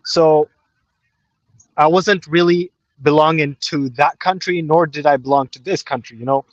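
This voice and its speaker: A man's voice: fairly high pitch, steady tone, normal speed, no room sound, dull audio. A man in his twenties from Pakistan, later Canada, a native Urdu speaker, calling from outdoors and telling his story casually with slangy informality. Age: 20s. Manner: informal, with frequent "you know" and slang. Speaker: caller.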